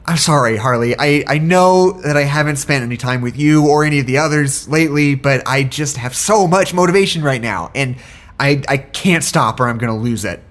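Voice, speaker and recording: A man's voice: mid-range voice, fairly quick, fairly bright tone, natural voice. A man acting in an audio drama as a sleep-deprived, apologetic researcher, hesitant, stammering and evasive. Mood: nervous, apologetic.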